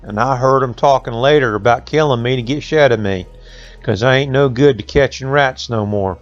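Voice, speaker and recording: A man's voice: mid-range voice, moderate pace, highs cut off, soft background music, natural voice. A man voicing a weary old farm animal complaining in a folk tale. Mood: forlorn, complaining. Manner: folksy complaining.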